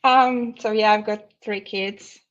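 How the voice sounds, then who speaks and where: Female interview guest, Russian accent, slow halting delivery, casual, medium pitch, muffled lo-fi sound. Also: steady tone, fairly bright tone.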